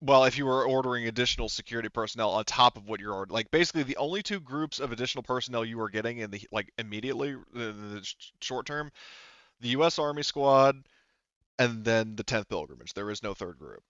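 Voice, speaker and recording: A man's voice: mid-range voice, fast, fairly bright tone, no room sound, highs cut off. A man running a tabletop roleplaying game as game master, patiently clarifying details to players step by step.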